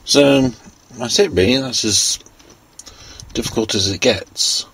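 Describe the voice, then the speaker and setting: A man giving an informal hands-on technical walkthrough, unscripted and halting as he thinks aloud. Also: mid-range voice, fairly bright tone. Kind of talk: informal hands-on show-and-tell narration. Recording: clearly noisy handheld amateur recording.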